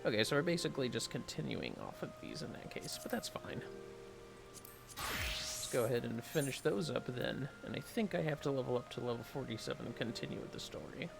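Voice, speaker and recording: Casting: man, casual game stream host, hushed and rambling, fry in the voice, clear music underneath. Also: mid-range voice, expressive, brisk. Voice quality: soft, hushed. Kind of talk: casual gameplay commentary.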